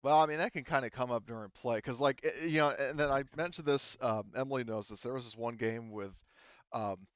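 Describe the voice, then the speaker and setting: Clear-voiced man running a casual game discussion. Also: medium pitch, brisk, no room sound, lo-fi sound. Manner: informal, swearing, animated storytelling. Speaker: game master running a group planning session. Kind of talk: casual group discussion of tabletop game rules.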